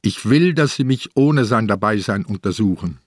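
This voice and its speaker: An old, ailing police inspector in a radio play. His voice is low and closely recorded without room sound. He probes bluntly, with a dry, stubborn edge. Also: expressive, normal speed, no echo. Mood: dry, probing. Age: older. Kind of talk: radio drama acting.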